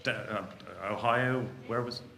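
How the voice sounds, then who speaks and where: Bright-voiced man hosting in an echoey room. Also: mid-range voice, flat delivery, a little slow. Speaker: event host introducing a poet. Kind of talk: informal spoken introduction. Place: indoor conference banquet room. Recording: echoey room with faint noise.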